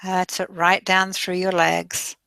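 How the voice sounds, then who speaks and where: Slow, soothing woman guiding meditation. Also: fairly low pitch, level tone, dry recording, rough recording. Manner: slow, soothing, drawn-out phrasing with soft breathy passages. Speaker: meditation guide. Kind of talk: guided meditation.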